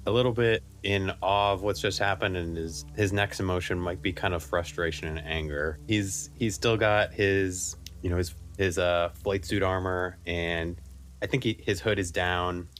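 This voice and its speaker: A man improvising as a tabletop game player, haltingly describing and voicing his character. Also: low-pitched, steady tone, a bit bright. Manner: halting, thinking aloud.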